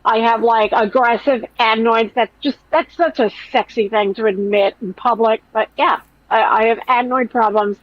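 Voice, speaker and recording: A woman hosting a podcast in casual commentary chat, with a nasal, whiny voice and a blunt, profane, animated way of talking. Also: a little high, moderate pace, few pauses, lo-fi sound. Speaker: podcast host.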